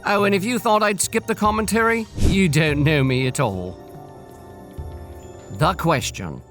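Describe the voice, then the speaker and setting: A man's voice: high voice, a little slow, a bit bright, soft background music. A man telling a scripted story and adding playful, theatrical commentary as host.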